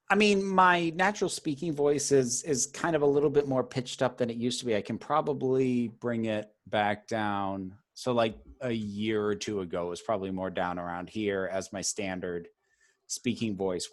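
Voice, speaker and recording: A baritone-voiced man, a guest in a casual interview, talking at length in an articulate, chatty way with many "like"s. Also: fairly even intonation, moderate pace. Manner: articulate and talkative, lots of "like", laughs mid-sentence. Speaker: guest YouTuber.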